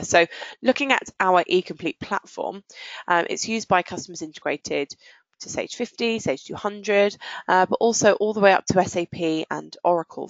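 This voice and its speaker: Woman doing a software walkthrough, fry in her voice, muffled lo-fi audio with no room sound. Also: fairly low pitch, no echo. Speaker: software product presenter. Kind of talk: scripted product demo presentation.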